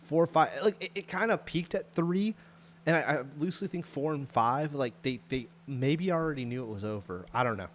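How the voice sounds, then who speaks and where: A man's voice: fairly high pitch, lively intonation, normal speed, a little hiss or hum. A man with an American accent chatting casually as a podcast co-host, rambling through a TV show review with slangy emphasis.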